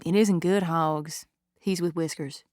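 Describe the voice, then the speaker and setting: An actress playing a worried, uneasy woman confiding in a friend in an audio drama, with a troubled, expressive delivery. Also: deep voice, a little slow, no room sound, studio quality. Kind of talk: dramatic dialogue in fiction podcast.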